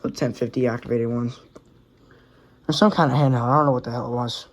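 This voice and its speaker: A man talks through a collection in an offhand, rambling way. His voice sits at an average pitch, and the casual recording has clear background noise and clicks. He slips in mild swearing as he goes. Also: fairly even intonation, fairly quick. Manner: offhand, rambling, mildly profane. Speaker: radio collector showing collection. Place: indoors among working radios.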